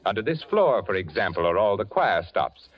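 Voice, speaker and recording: An actor playing a cultured, eccentric rich widower in a 1940s radio drama, speaking in a suave, courtly way with soft chuckles. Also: male voice, on the low side, sing-song, moderate pace. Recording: muffled 1940s radio broadcast with organ music.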